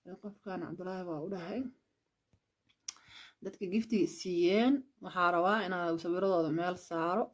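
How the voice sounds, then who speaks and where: A woman's voice: lowish voice, fairly even intonation, brisk. A woman from Somalia, chatting casually on a livestream, switching languages and thanking viewers for gifts. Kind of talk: casual live-stream chat.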